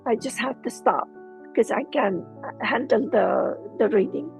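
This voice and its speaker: Gravelly middle-aged woman, Lebanese accent. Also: a little high, unhurried, faint music behind. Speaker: interview guest. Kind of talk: personal storytelling in an interview.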